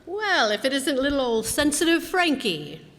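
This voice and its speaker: A woman's voice: high-pitched, big pitch swings, normal speed, a bit bright. A woman playing a practical, wry wife who chivvies her husband in a radio comedy re-creation. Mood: wry, chivvying. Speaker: radio player. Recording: reverberant hall, live stage performance.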